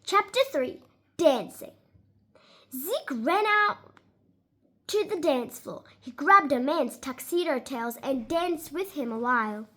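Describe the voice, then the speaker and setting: High-pitched, animated woman reading a storybook. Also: slow. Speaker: storyteller reading a children's book aloud. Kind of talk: expressive read-aloud of a children's storybook.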